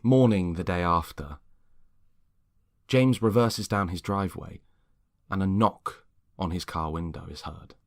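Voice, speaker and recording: A man narrating a scripted story in a measured, dramatic way. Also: low-pitched, creaky, dry recording, clean studio sound.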